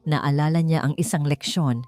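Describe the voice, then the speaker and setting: A woman's voice: low voice, steady tone, normal speed, a little mellow, dry recording. A woman with a Filipino accent reading a dramatic story as an audiobook narrator. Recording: music bed under the voice. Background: background music.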